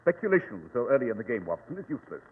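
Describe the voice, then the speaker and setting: An actor playing a brilliant, haughty English gentleman detective in old-time radio drama, precise, pompous and commanding. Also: medium pitch, big pitch swings, very fast, slightly rough. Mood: assured. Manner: precise, pompous, theatrical delivery. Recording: tinny, noisy, reverberant old radio recording.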